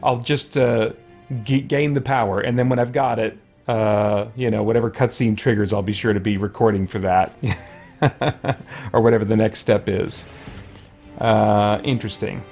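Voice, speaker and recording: A man's voice: on the low side, fairly even intonation, normal speed, a little mellow. A man giving casual let's play commentary as a streamer, rambling as he thinks aloud. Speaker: game streamer. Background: game music.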